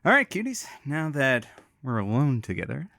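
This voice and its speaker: A man's voice: medium pitch, wildly swooping pitch, normal speed, gravelly, noisy background. A man playing a cheeky late-night radio sidekick, reading in a cozy, showy announcer manner. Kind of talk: comic banter and scripted credits narration.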